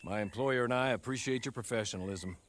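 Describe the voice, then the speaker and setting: A man playing a composed gentleman gunman in a western video game, speaking with formal, polite, wry manners. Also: mid-range voice, fast talker, slight background noise, lightly acted. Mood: courteous, wry. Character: courteous, composed gentleman gunman working for secretive employer. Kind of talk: dramatic video game cutscene acting.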